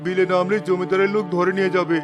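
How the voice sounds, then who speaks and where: A poor village fisherman and father acted by a man, desperate and pleading in a cartoon drama. The voice is high for a man, with music playing behind it. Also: level tone, fast, lightly acted. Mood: desperate resolve. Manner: pleading, anguished.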